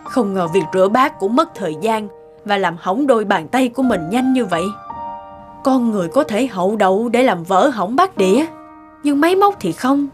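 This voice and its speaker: A kind but exasperated middle-aged lady of the house from a cartoon moral tale, voiced by a woman. Her voice sits a little high and she scolds in a measured, earnest way. Music plays clearly behind her. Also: animated, natural voice. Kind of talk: animated moral-tale voice acting. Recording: music under the voice.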